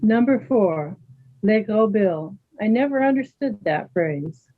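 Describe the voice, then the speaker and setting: A woman playing a calm, kind, sporty Canadian woman in a readers theater dialogue. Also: mid-range voice, a little slow. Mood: friendly, matter-of-fact. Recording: thin phone-like sound.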